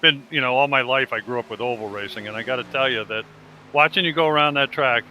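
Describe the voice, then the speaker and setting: American man, sharp bright voice, folksy casual co-commentator, complimentary, faint background noise. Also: medium pitch, quick pace, poor audio. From United States. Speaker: sim racing co-commentator. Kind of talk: casual chat.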